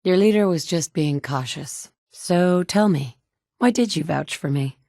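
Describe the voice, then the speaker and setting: A woman's voice: lowish voice, a little slow, creaky, darkish tone, no echo, clean studio sound. An actress playing a determined, guarded woman survivor in a video game, speaking in a clipped, matter-of-fact way. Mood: cool, wry. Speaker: voice actor for a video game player character. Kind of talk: video game dialogue lines.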